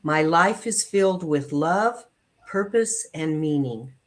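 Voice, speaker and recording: Low-pitched woman reading slowly and deliberately. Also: animated. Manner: deliberate, phrase-by-phrase delivery. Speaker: prayer practitioner and reader. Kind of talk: measured read-aloud of affirmations and a book reading.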